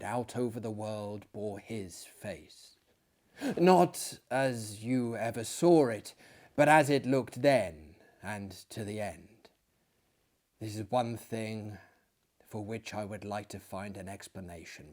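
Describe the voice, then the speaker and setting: A man voicing a weary, haunted friend in a dramatic storybook reading, speaking gravely and deliberately. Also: mid-range voice, darkish tone, lightly acted. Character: weary, haunted male friend recounting deaths.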